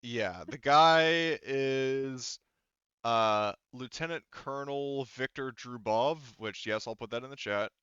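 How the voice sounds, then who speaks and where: A man casually running an improvised tabletop game as game master, halting and rambling as he thinks aloud. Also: mid-range voice, pushed and bright, clean tone, no echo, highs cut off.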